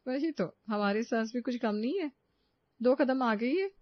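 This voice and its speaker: A woman's voice: on the high side, expressive, unhurried, no echo, highs cut off. A woman playing an irritated young working woman chatting and complaining to a friend in a cartoon story.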